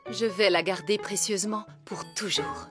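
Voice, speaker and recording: A woman playing a doting, regal fairy queen mother in a French cartoon dub, expressive and theatrical. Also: medium pitch, moderate pace, music in the background, lightly acted. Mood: delighted, regal.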